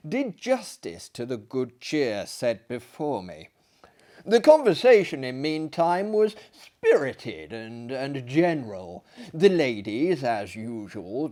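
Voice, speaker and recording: A man tells a classic tale in a theatrical, hesitant manner. His voice is mellow and fairly high for a man, and it swings widely in pitch. He takes his time over the phrases. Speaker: storyteller and performer. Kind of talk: theatrical literary story reading.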